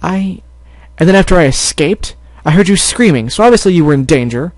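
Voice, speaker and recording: A man voicing an exhausted adult stallion who shouts and scolds his little sister. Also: mid-range voice, normal speed, no echo, lightly acted. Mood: angry. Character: adult stallion, exhausted and angry big brother.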